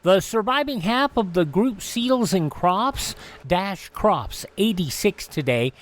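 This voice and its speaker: A man's voice: high-pitched, expressive, slow. A man hosting a radio show, reading through an almanac in an announcer-like way with casual asides. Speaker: radio host.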